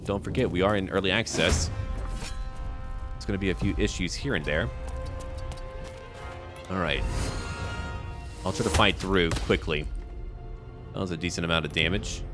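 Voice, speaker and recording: A man's voice: deep voice, normal speed, long pauses, music in the background. A man giving casual let's-play commentary, thinking aloud and chatting to the characters on screen as he plays. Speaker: gaming video host playing and narrating.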